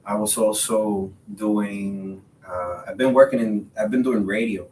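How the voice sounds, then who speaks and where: A man in his thirties from the Republic, a Spanish speaker, chatting in an interview in a halting way, repeating words. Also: steady tone, a little mellow. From Dominican Republic. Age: 30s. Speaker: podcast guest, realtor. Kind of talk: casual interview conversation. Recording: roomy echo, distant mic, faint rumbling noise.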